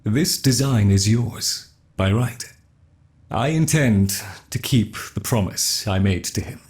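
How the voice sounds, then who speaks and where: A man playing a solemn, loyal laboratory keeper in a video game, formal and measured. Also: on the low side, animated, a little mellow. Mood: solemn, resolute. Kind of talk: scripted video game character dialogue.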